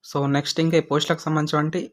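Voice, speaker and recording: Very fast-talking Telugu man. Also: a little high, little pitch movement. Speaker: job-news YouTube host. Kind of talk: informational narration of a job notification.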